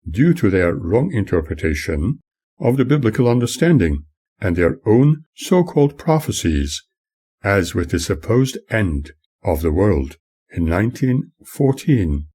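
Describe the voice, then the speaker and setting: A man reading his own memoir as scripted audiobook narration, in a formal, emphatic and deliberate manner. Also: fairly low pitch, mellow, breathy edge.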